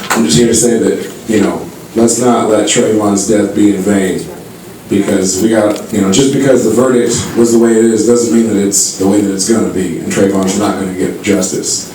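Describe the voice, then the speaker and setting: A man's voice: on the low side, fairly even intonation, normal speed, dark tone. A man from California making conversational, heartfelt remarks as a speaker at a public meeting. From Menlo Park, California. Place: meeting hall, public town-hall event. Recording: echoey hall, distant mic, faint noise.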